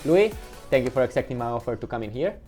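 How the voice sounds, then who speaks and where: A man hosting a podcast, asking friendly questions quickly with some fillers. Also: medium pitch, big pitch swings, music in the background. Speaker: podcast host. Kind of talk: casual podcast interview.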